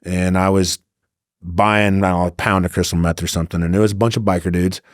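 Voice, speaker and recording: A man's voice: low-pitched, level tone, quick pace, no room sound, clean studio sound. A middle-aged man telling casual anecdotes as a podcast guest, acting out both sides of conversations. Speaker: podcast guest, former undercover agent.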